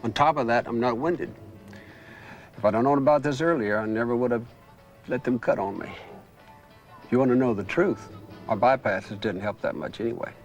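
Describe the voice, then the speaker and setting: A man's voice: mid-range voice, normal speed, long pauses, soft background music, natural voice, poor audio. A man playing a grateful angina patient, frank and folksy, in a scripted medical dramatization. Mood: frank, relieved. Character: grateful male angina patient, blunt about surgery.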